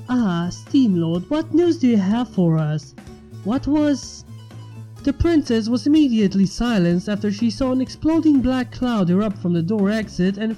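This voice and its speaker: Expressive man narrating over music. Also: high-pitched, low-quality recording. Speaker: fan fiction narrator and channel host. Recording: music under the voice. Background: background music.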